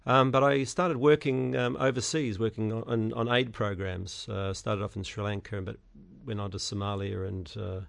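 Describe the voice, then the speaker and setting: Middle-aged New Zealand candidate, gravelly, low voice, stop-start answers full of ums. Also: male voice, fairly even intonation. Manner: halting, many fillers and restarts. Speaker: election candidate guest. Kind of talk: radio interview answers.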